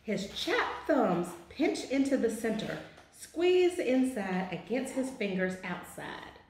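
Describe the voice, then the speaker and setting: A woman in her forties reading a children's picture book aloud with deliberate, expressive phrasing in an office. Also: on the high side, a little hiss or hum. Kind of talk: children's storybook read-aloud. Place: small indoor office. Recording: very echoey, roomy sound.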